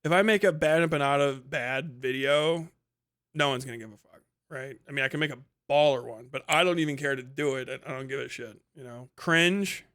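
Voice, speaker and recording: A man's voice: medium pitch, brisk, a bit bright. A man hosting a casual, unscripted livestream commentary, rambling along in slangy, profanity-laced talk. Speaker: livestream host.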